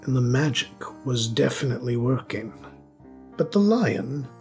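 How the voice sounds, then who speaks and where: A man narrates a scripted fantasy tale in the role of storyteller. His voice has a creaky, fried grain at a middling pitch, and music plays clearly behind him. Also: animated, unhurried, darkish tone.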